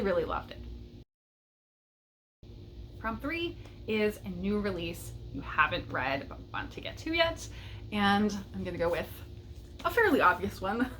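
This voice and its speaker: A woman's voice: medium pitch, a little hiss or hum, poor audio. A woman chatting casually and enthusiastically as a book vlogger, with a light chuckle in her talk.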